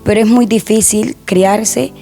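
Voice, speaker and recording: A young woman, a guest softly and hesitantly sharing a personal testimony in an interview. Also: medium pitch, fairly even intonation, unhurried, gravelly, soft background music. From Honduras.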